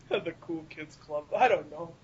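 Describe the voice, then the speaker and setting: Young male co-host, very high voice, giggly self-mocking banter, dull lo-fi voice-chat recording. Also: slow pace. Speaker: podcast co-host and amateur comedy writer. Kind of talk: casual group banter. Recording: dull lo-fi voice chat, noisy rumble.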